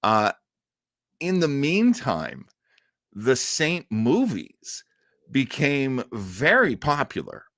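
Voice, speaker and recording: A man hosting a live listening show, telling stories in a casual, informative way with emphatic phrasing and dramatic pauses. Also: mid-range voice, wildly swooping pitch, a bit bright, no room sound, studio quality. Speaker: host of a live old-time radio listening show. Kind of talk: casual informative storytelling to an online audience.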